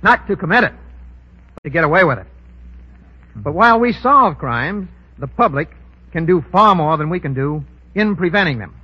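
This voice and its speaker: A man with a husky voice, a guest crime expert giving scripted explanations in a 1930s radio interview. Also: a little high, lively intonation, normal speed, long pauses. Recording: dull, lo-fi 1930s radio recording.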